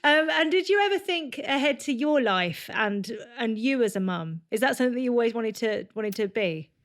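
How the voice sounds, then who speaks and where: A woman's voice: a little high, lively intonation, normal speed. A woman hosting a casual podcast interview, chatty and enthusiastic, speaking into a desk mic over a video call. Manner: chatty, enthusiastic, friendly questioning. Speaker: podcast host. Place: home room, indoors. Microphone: headphones with a large desk mic, video call.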